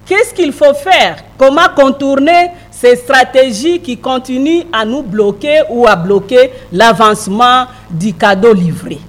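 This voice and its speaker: Brief: woman panelist, non-native French, slow and emphatic, fairly high voice. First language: a Congolese language. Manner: earnest, emphatic, with hesitant restarts. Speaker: panel speaker. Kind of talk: public debate remarks.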